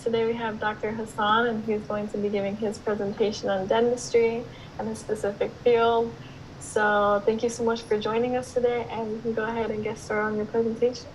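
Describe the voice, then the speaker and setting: Woman host, fairly high voice, flat delivery, polite welcome, faint hiss. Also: a little fast, rough recording. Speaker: host of an informational session. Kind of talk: brief scripted welcome.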